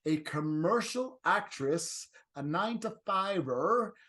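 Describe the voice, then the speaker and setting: A man's voice: sits high, lively intonation, sharp tone. Chatty man hosting a design chat. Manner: chatty, halting, with frequent restarts and laughs. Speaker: host and interior designer. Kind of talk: casual video-call interview. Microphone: video call.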